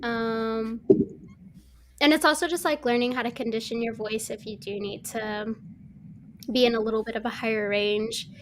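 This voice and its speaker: A woman's voice: fairly high pitch, steady tone, moderate pace, a little hiss or hum. A young woman on a video-call panel, rambling and correcting herself as she answers. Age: young adult. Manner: rambling, self-correcting, full of fillers. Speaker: voice actor on a panel. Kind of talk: casual panel chat.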